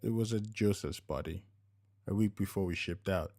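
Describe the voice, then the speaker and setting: Middle-aged husband for a story, read by a man: low voice, soft-spoken, tender, a little awkward. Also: normal speed. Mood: fond. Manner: gentle, soft murmuring.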